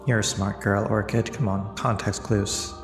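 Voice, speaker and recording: A man playing a stern, judging god in a tabletop game, speaking formally and with measured admonishment. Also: lowish voice, flat delivery, reverberant, in a large hall, music in the background, lightly acted. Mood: stern, probing.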